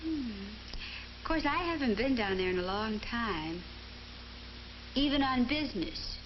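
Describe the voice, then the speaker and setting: A woman's voice: fairly high pitch, expressive, a little slow. An actress playing a prim, skeptical young otherworldly woman, a little hesitant and coy, in a 1950s training film. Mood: reluctant. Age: young adult. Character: prim, skeptical young woman from the world above, posing as a writer. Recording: muffled, noisy 1950s film soundtrack.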